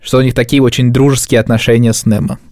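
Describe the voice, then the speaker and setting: A man with a medium-pitched voice co-hosts a podcast, close and dry with no room sound. He chats casually and loosely, in slangy, informal phrasing. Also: little pitch movement, fast, a little mellow, dry recording. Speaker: podcast co-host. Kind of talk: casual chat.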